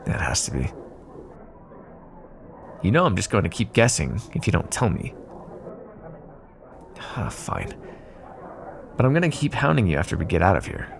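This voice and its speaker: A man's voice: on the low side, vocal fry, a bit bright, slight background noise, full character voice. A man playing a sly, teasing vampire in an intimate, sarcastic ASMR roleplay monologue. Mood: playful, impatient. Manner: sarcastic, teasing, drawling asides. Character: sly teasing male vampire bargaining with his hunter rival.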